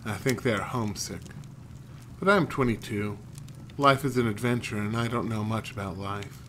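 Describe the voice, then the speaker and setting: A man's voice: lowish voice, moderate pace, a bit bright, a little hiss or hum. A man streaming a horror game, chatting and reading in-game notes aloud, sometimes stumbling over names. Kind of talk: let's play commentary and reading notes aloud.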